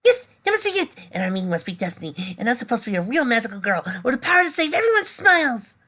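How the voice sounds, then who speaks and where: A woman voicing a brash, unstable teenage girl who talks loudly and bluntly. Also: a little high, big pitch swings, brisk, voice pushed up. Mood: excited. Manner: loud, sharp, exclaiming.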